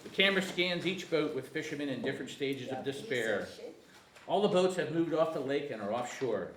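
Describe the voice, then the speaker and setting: Male screenplay narrator, lively, slightly high voice, informal table read with room echo. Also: a little fast. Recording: echoey room, faint noise.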